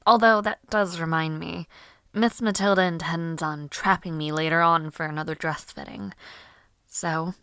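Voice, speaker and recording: A young woman voicing a spirited young noblewoman mage, giggly and formally spoken, with soft whispered asides, for an audio roleplay. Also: fairly low pitch, clear, resonant tone, no room sound.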